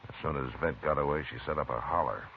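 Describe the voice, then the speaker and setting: Steady old-west lawman, super deep voice, slow and firm, tinny radio. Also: creaky, slight background noise. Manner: measured, firm and deliberate. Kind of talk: 1950s radio acting.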